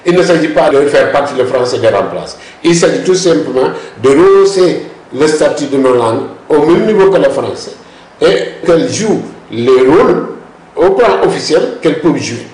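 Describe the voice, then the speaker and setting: A man's voice: a little high, lively intonation, moderate pace, darkish tone. A man, an expert guest who explains at length and insists on his points, often cutting in with repeated words. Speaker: language policy expert guest. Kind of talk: discussion interview. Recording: roomy echo.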